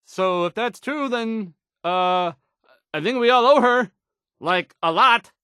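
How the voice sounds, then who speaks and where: Nervous, high-pitched, bumbling radio newscaster. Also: male voice, slow pace, sharp tone, clean tone, no echo, studio quality, exaggerated voice. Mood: awkward, flustered. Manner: hesitant, stammering, full of ums and trailing-off. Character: nervous, awkward young male radio newscaster, unsure and bumbling. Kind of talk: in-game radio news acting.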